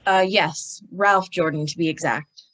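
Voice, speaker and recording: A woman's voice: on the low side, fairly even intonation, measured pace, a bit bright. A woman playing a worried, formal male business executive in old-time radio style, somewhat flustered. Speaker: actor in an old-time radio detective play. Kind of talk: 1940s radio acting.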